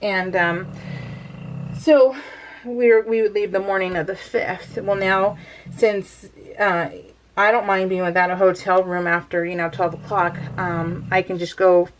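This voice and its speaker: A woman's voice: medium pitch, fairly even intonation, measured pace, rough recording. A woman chatting casually while scrapbooking at home, rambling with lots of fillers and frequent laughs. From California. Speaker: crafting vlogger.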